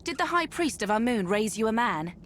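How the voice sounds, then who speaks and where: An actress playing a pompous, solemn eldest daughter, an immortal guardian of justice in a video game. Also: female voice, fairly high pitch, very animated, fairly quick, a bit bright, a little hiss or hum. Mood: taunting. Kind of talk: video game character acting.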